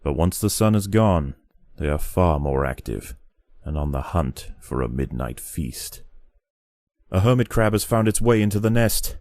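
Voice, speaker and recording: A man reading scripted narration in the style of a nature documentary, with a measured, dramatic and solemn storytelling delivery. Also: deep voice, expressive, a little mellow, dry recording, clean studio sound.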